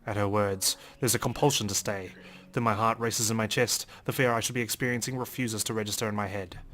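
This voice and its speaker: A man doing a let's play, reading a game's narration aloud in an expressive, dramatic storytelling way. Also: fairly low pitch, brisk. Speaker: let's player.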